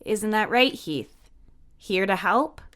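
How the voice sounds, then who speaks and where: Casting: woman as shy, caring young woman, gentle and earnest delivery, audio drama. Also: medium pitch, animated, takes it slowly, pitched above the performer's own voice. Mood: gentle, nudging.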